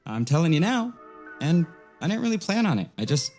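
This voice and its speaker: A woman playing a washed-up, self-doubting rock frontman in an audio drama, with casual, halting speech. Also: on the low side, sing-song, music in the background. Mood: defensive. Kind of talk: naturalistic audio drama dialogue.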